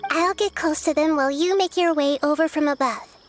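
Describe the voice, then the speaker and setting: A woman voicing a small, childlike goddess who talks in a soft, calm and earnest way. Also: high voice, a little fast, natural voice. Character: small childlike girl goddess, gentle and curious. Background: game music.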